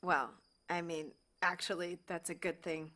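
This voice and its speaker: An actress playing a warm, teasing woman doctor in a naturalistic audio drama, talking casually and telling stories with animation. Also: on the low side, little pitch movement, measured pace. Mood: teasing, amused. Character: warm, teasing woman doctor, old flame, sheltering fugitives.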